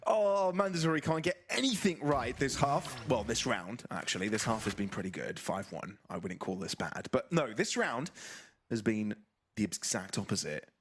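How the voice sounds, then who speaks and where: A man doing live play-by-play commentary on an esports match, conversational and breaking into excited calls. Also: medium pitch, normal speed, husky.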